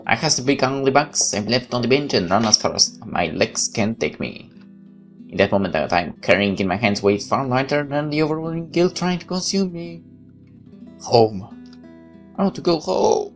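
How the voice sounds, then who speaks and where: A man reads a visual novel aloud as a let's play host. His mid-pitched delivery is expressive and casual by turns, with faint guitar music underneath. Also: moderate pace. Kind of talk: dramatic read-aloud with casual reactions.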